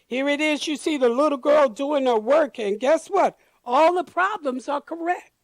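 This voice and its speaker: A woman reading a picture book aloud to children, deliberate and expressive, pausing to comment to her listeners. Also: high-pitched, no echo. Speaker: storyteller for children.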